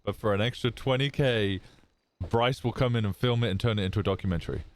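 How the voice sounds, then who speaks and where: A man talking in character in a roleplay, brash and blustering, swearing as he pitches an idea. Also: fast.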